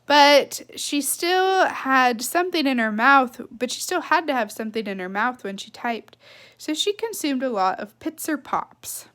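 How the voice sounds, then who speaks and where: A woman reading a children's novel aloud with expressive, emphatic phrasing and casual asides. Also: high-pitched, a little slow. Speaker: read-aloud storyteller.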